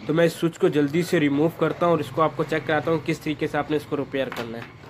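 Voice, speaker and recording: A man presents a hands-on how-to in an informal, instructional manner. His voice sits a little high and stays very even in pitch. He explains each step in turn over faint background noise. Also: fast talker. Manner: instructional, step-by-step, addressing viewers as friends. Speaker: repair tutorial presenter.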